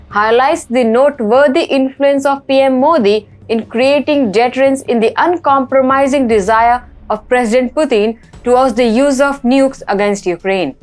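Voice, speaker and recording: A woman with an Indian accent presenting scripted news reports in a formal manner. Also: high voice. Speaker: news host.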